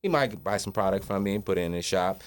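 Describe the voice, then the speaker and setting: A man's voice: sits low, lively intonation, fast. A man in his forties from Long Island, a cannabis grower talking casually as a podcast guest. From Shinnecock, Long Island. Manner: laid-back, easy laughs, says "you know what I'm saying".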